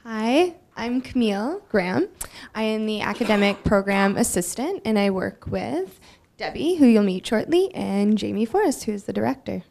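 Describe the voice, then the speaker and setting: A woman's voice: mid-range voice, lively intonation, normal speed, gravelly, darkish tone, a little hiss or hum. A woman, the program assistant, introducing herself cheerfully. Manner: cheerful, laughing easily.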